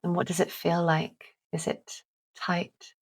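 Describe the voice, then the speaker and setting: Woman, guided meditation, low even voice, creaky edges, gentle, deliberate, long pauses. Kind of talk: guided visualisation, calming meditation narration.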